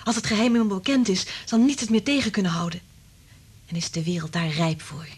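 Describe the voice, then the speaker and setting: An actress playing a sober, troubled woman scientist in a Dutch radio drama, speaking in a measured, serious way. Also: mid-range voice, fairly even intonation, slightly rough, lightly acted. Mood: grave, hushed.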